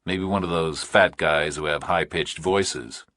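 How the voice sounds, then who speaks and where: Very deep, gravelly male thriller narrator. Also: a little slow, a bit bright, dry recording, clean studio sound. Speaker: audiobook narrator.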